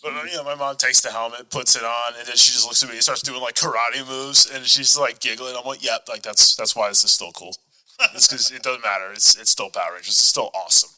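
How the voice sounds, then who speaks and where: A man's voice: mid-range voice, fairly even intonation, fast, sharp tone, rough recording. An American man in his thirties hosting a podcast in a casual, enthusiastic way. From United States. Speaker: podcast host.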